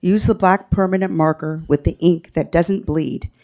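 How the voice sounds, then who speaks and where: A woman with a low, mellow voice reading a poem at a public podium. She recites it loudly and with deep feeling. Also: steady tone, moderate pace. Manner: reading a poem aloud, loud and impassioned. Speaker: resident public commenter.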